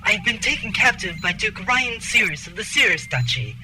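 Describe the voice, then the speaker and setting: A woman's voice: extremely high pitch, huge pitch swings, brisk, gravelly, full character voice. A woman playing a young captive noblewoman who pleads theatrically over a radio transmission in an audio drama. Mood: pleading, urgent. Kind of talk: melodramatic audio drama acting. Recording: music behind the voice.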